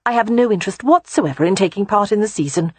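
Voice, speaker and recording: A woman's voice: medium pitch, lively intonation, quick pace, a little mellow, slightly rough, no room sound, pitched above the performer's own voice. A woman voicing a spirited, independent young woman in an audiobook, wry and frank. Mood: wry, weary. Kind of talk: audiobook character voice.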